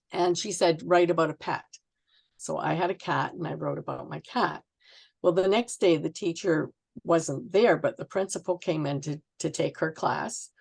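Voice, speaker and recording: A woman's voice: deep voice, vocal fry, darkish tone. A Canadian woman in her sixties from Ontario, chatting and telling anecdotes as a guest author in a casual interview. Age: 60s.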